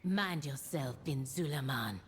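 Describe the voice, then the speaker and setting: A woman's voice: very deep voice, expressive, unhurried, breathy edge, heavily acted. A woman voicing a proud, determined young troll warrior in a video game, speaking earnestly and resolutely. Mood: stern. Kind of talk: video game character acting.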